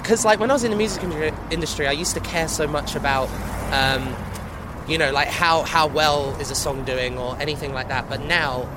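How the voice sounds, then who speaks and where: A young drag performer chatting casually in a street interview, playful and full of fillers, outdoors on a seafront street. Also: male voice, a little high, fairly even intonation, a little fast. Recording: busy outdoor street noise.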